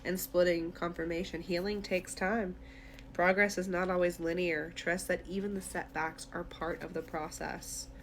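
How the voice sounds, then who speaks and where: A woman's voice: fairly low pitch, level tone, normal speed, slight background noise. A woman tarot reader speaking directly to the listener in a conversational, reassuring, therapy-minded way during an intuitive reading.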